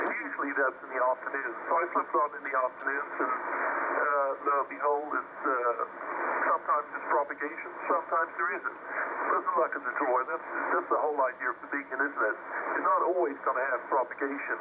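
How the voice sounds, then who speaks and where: A man chatting casually as an amateur radio operator over a radio link, in a rambling, hesitant way. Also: fairly high pitch. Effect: radio transmission. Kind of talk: casual two-way ham radio chat. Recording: very noisy radio reception, loud static.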